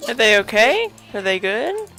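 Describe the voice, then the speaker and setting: A woman's voice: fairly high pitch, big pitch swings, normal speed, bright, forceful tone. A woman streaming a game with casual commentary, muttering asides to herself and to the game. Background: game music.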